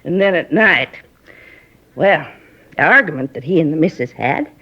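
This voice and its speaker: A chatty, prying middle-aged neighbor rambling on in folksy, confiding talk. Her voice is a little husky, heard through a dull lo-fi 1950s radio recording. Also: low-pitched, expressive, moderate pace, a bit bright, full character voice. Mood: gossiping. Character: gossipy nosy middle-aged woman neighbor. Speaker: apartment neighbor questioned by police. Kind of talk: 1950s radio drama acting.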